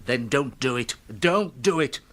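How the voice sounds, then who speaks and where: An actor playing a dyspeptic, henpecked middle-aged English husband who grumbles and groans meekly in a comic radio drama. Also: male voice, medium pitch, expressive, unhurried, fairly bright tone. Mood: peevish moaning. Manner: complaining, groaning, meek before his wife.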